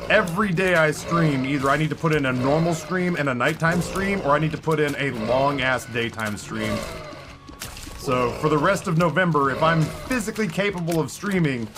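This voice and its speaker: A man in his thirties streaming and commenting on a game in a rambling, self-mocking way, his voice hoarse after hours of talking. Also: fairly high pitch. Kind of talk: live gameplay commentary. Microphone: headset with headphones.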